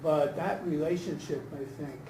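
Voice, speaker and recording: A man's voice: fairly high pitch, normal speed. A man giving hesitant, informal feedback in a group discussion, thinking aloud as he goes. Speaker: audience member, scholar giving feedback. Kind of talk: informal spoken critique at a talkback. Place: indoor room at a post-reading discussion, far from the mic. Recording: distant roomy recording with background noise.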